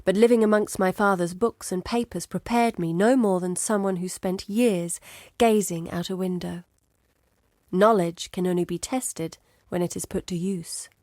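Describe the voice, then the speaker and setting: An actress playing an inquisitive, earnest young woman scholar in a video game, speaking in an articulate, measured, thoughtful way. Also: fairly low pitch, fairly even intonation, darkish tone, no room sound, studio quality, natural voice. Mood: reflective, sincere. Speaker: game character, scholar and map researcher. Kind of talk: scripted video game dialogue.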